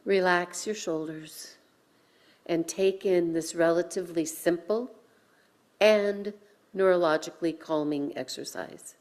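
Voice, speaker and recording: A woman minister delivering a sermon with measured, deliberate phrasing and pauses, guiding listeners in a reflective way. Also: fairly low pitch, darkish tone. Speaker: minister leading a worship service. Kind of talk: sermon with guided breathing.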